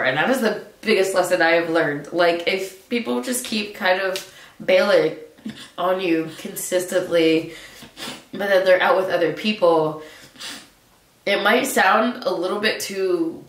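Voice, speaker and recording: A young woman with a stuffy nose, musing casually to camera in a relaxed way, with lots of filler words. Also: fairly low pitch, unhurried. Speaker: vlogger. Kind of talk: casual reflective monologue to camera. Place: small room indoors, seated in a hanging chair. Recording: roomy echo, mic at a distance.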